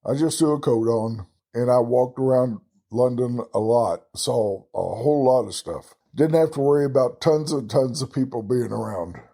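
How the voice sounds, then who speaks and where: A man with a Texan drawl talks through travel tips in a loose, folksy way, tossing in chatty asides at his own expense. His voice is dark and mellow, with a husky grain to it. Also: mid-range voice, normal speed. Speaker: travel podcast host. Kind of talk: casual solo travel talk.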